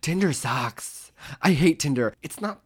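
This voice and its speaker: A man in his thirties from Oklahoma, co-hosting a casual chat podcast, sassy and animated. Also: on the high side, breathy edge. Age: 30s. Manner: animated, sassy, quick asides. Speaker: podcast co-host.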